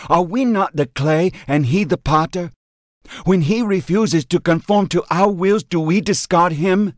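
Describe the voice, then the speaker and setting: Calm formal Chinese man, lectures earnestly, as a narrator puts him on. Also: high-pitched, measured pace, dry recording, studio quality, voice pushed up. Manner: formal, no contractions, preaching.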